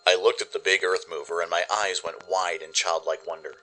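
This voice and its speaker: A man's voice: deep voice, steady tone, brisk, sharp tone, soft background music, poor audio. A man narrating a scripted adventure story in the first person, in a dramatic storytelling style. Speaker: narrator and producer.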